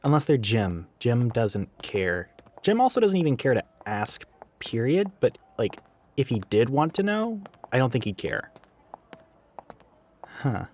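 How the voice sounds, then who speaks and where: A man's voice: mid-range voice, slight background noise. A man playing a chatty, sarcastic, gender-ambiguous retail coworker who goes by she, in a casual, rambling audio roleplay addressed to the listener. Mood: teasing. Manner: rambling, sarcastic, flippant, with sighs and chuckles.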